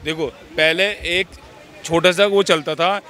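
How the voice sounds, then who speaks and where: A man holds forth in a street interview with a high, sharp-edged voice. He lectures in a preachy way, punctuating his points with small rhetorical check-ins. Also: fairly even intonation, slow pace, a faint crowd.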